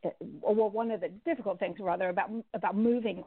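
Chatty woman with a gravelly voice on a phone line. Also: medium pitch, a little fast, no room sound. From born in Guyana, raised in England and the Caribbean. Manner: chatty, with many restarts and fillers. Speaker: author guest. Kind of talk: conversational interview answers. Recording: tinny phone line, dry.

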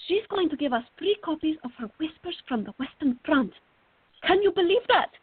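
Actress as a high-voiced, fiery, excitable young student. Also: female voice, a little fast, no room sound. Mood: excited. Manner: excitable, dramatic. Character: fiery, excitable teenage female art student. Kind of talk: radio drama acting. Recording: dry, thin phone-like band.

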